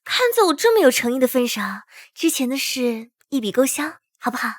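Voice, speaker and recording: A woman voicing a flippant, scheming young princess in an animated drama, with a cheeky, wisecracking manner. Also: high voice, a little fast, in a large hall. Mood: playful coaxing. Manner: cheeky, self-amused, wisecracking. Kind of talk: animated short-drama dubbing with comic inner monologue.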